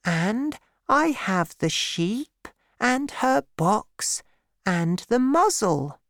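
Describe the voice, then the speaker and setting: A man voicing a gentle, sad little boy prince, speaking softly and earnestly. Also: sits high, very animated, extremely slow, no room sound, pitched above the performer's own voice, full character voice. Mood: wistful. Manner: soft, earnest, wistful.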